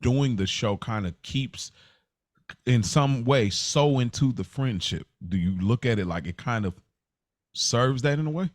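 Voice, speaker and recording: An American man co-hosting a podcast, thinking aloud in a halting, informal way as he chats and asks questions. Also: medium pitch, expressive, no echo, clean studio sound. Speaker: podcast co-host. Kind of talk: casual chat, probing questions.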